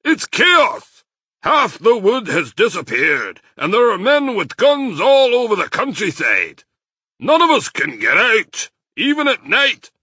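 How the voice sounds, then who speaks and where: A man voicing an excitable, despairing badger father in a children's storybook, blustering and emphatic. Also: medium pitch, takes it slowly, slightly rough, dry recording, clean studio sound, pitched above the performer's own voice, full character voice. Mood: frantic, despairing. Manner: blustering, agitated, emphatic delivery.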